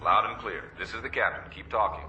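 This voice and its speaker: An actor as a deep-voiced, commanding Navy captain. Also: male voice, muffled recording, background noise, lightly acted. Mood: focused, concerned. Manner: clipped, commanding, deliberate. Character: stern, weary male Navy destroyer captain. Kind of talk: radio drama acting.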